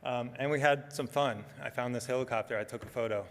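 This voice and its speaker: A soft-spoken middle-aged man giving a relaxed conference talk, with frequent ums as he walks through slides. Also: mid-range voice, quick pace, in a large hall. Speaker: conference speaker. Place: conference hall.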